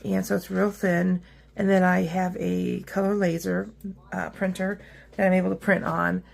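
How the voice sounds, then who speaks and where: Woman, craft demo host, hoarse rough voice, very gravelly, upbeat chatter, lots of ums. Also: lowish voice, flat delivery, moderate pace. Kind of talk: casual how-to craft demonstration.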